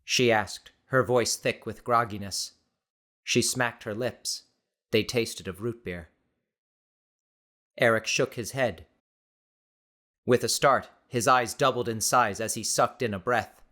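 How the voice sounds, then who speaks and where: A man narrating an audiobook story in a dramatic, measured and expressive reading style. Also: medium pitch.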